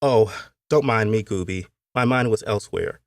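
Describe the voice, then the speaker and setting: A man voicing a shy, well-mannered young Southern gentleman, polite and reserved. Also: fairly low pitch, normal speed, gravelly, no echo, studio quality.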